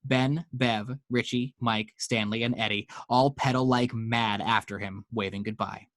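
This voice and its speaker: A man's voice: medium pitch, steady tone, measured pace, fairly bright tone, dry recording. A man reading action descriptions as narrator in a dramatic screenplay table read. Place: at home.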